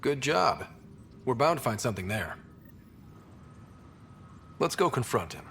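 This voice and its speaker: Young game hero: earnest, direct, mid-pitched, very expressive intonation. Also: male voice, a little slow, gravelly, a little hiss or hum, exaggerated voice. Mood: curious, suspicious. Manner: direct, plain-spoken. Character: earnest young male space explorer hero. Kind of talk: scripted game dialogue.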